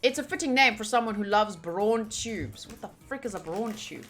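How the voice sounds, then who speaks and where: A woman's voice: mid-range voice, animated, moderate pace, a bit bright, faint music behind. A woman game streamer reading game text aloud and chatting informally with casual asides.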